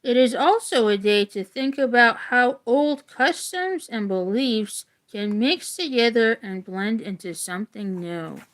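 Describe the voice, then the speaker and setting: A woman's voice: fairly high pitch, unhurried, hardly any pauses. A woman reading a children's nonfiction book aloud like an educator, adding informal, casual asides.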